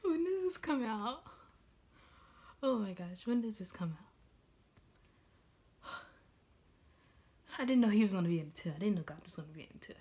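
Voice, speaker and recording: A woman in her twenties chatting casually and excitedly as a reaction video host, halting and leaning often on "like". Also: medium pitch. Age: 20s. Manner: excited, gushing; halting, repeats "like" heavily. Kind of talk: casual reaction vlog chat. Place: small room at home, indoors. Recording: clearly noisy home room recording.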